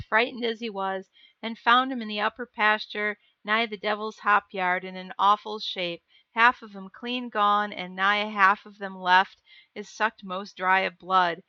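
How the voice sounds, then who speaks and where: A woman's voice: mid-range voice, bright, forceful tone, clean tone, no echo. A woman voicing an alarmed, gossipy rural housekeeper talking in rustic dialect in a horror story. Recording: dull lo-fi dry recording.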